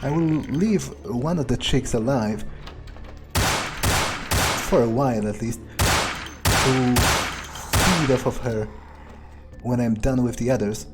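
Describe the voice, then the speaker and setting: A man playing a cold, predatory vampire who thinks aloud in a halting, muttering way during a game. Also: medium pitch, very noisy, close to an everyday voice. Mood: cold menace. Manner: slow, halting, muttering to himself. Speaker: player role-playing a character. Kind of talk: in-character game playthrough monologue.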